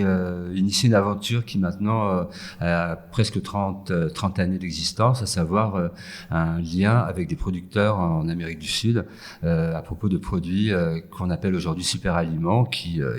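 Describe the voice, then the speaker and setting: A man from France with a very low, husky voice talks slowly through interview answers. He hesitates constantly, fills pauses with "euh" and restarts his phrases. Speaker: guest, food entrepreneur. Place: empty yoga room with echo.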